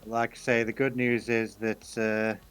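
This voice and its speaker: A man's voice: lowish voice, flat delivery, a little slow, a bit bright, clean tone, soft background music. A man chatting and teasing his co-host casually on a live stream. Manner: teasing, joking.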